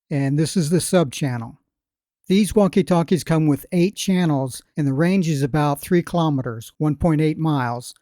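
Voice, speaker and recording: A man narrating scripted product instructions in a deliberate, plain, step-by-step way. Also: fairly high pitch, warm tone, dry recording. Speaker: product demonstrator.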